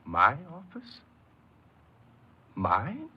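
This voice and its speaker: An actor playing an earnest, insecure paroled ex-convict who tells his story haltingly in a 1950s radio drama. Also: fairly low pitch, wildly swooping pitch, full character voice. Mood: humbly grateful. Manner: hesitant, halting, repeats words. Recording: noisy muffled 1950s radio recording.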